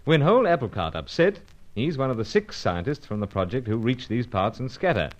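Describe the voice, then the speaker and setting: A man playing a brisk, loyal British secret agent in an old-time radio drama, with clipped, deferential old-school phrasing and a British accent. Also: mid-range voice, very animated, lightly acted. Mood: firm, explanatory. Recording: dull, band-limited old radio recording.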